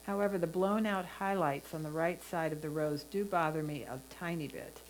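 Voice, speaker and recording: Low-voiced woman judging photographs. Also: moderate pace, slight background noise, poor audio. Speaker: photo competition judge. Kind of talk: prepared spoken image critique.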